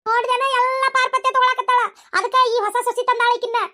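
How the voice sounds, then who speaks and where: A woman acts out a quarrelsome old mother-in-law in a village comedy cartoon. Her voice is extremely high and piercing, with a North Karnataka accent. She scolds quickly, repeating her complaints and wailing out curses. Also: flat delivery, sharp tone. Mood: bitter, cursing.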